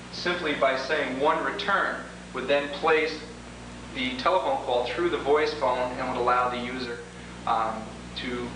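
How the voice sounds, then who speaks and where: A man giving a measured, slightly formal, explanatory demonstration of computer software, as a product demonstrator. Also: medium pitch. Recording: distant, echoey, noisy 1980s demo tape with hum.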